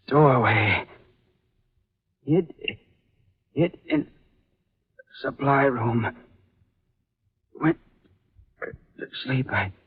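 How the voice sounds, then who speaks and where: Weak dazed dying man, old radio drama, super slow gasping bits, really breathy. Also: mid-range voice, full character voice. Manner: halting, gasping fragments. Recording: muffled, lo-fi vintage radio recording.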